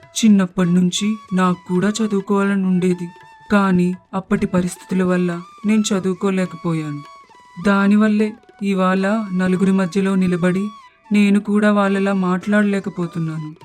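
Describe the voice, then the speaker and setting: A woman voicing a middle-aged village mother-in-law in an animated story, downcast and self-doubting, with a plaintive, resigned manner. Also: medium pitch, normal speed, close to an everyday voice. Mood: downcast, regretful. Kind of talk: animated moral story dialogue. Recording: music bed behind the voice.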